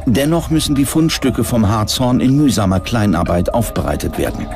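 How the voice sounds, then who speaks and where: Guy narrating a documentary, medium pitch, music playing pretty clearly behind him. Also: lively intonation, moderate pace. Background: background music.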